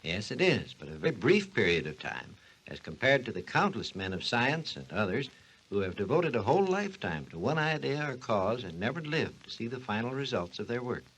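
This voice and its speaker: A man's voice: medium pitch, big pitch swings, brisk, fairly bright tone, husky, heavily acted, poor audio. A man playing a learned doctor who narrates and explains in formal, instructive 1940s radio style. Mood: authoritative, instructive. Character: authoritative male doctor explaining history and science. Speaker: narrator and expert.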